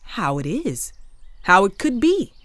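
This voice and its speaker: A woman playing an impulsive, wistful young Civil War widow in an audio drama, speaking in halting, searching, emphatic bursts. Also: mid-range voice, sing-song, fairly bright tone, no room sound. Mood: earnest, wistful. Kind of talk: naturalistic audio drama dialogue.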